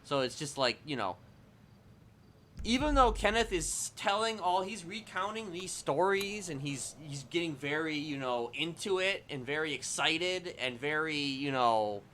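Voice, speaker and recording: A man's voice: on the high side, lively intonation, measured pace, pushed and bright, a little hiss or hum. A man livestreaming casual commentary on a roleplay game, explaining things in a rambling, hedging way. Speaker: streamer.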